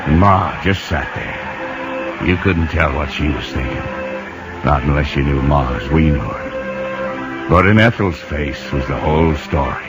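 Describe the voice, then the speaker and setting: A man's voice: rumbling low, lively intonation, unhurried, loud music behind the voice, full character voice. An actor playing a folksy man who narrates a baseball story dramatically in a 1950s radio drama. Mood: reflective. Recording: noisy, muffled old radio recording.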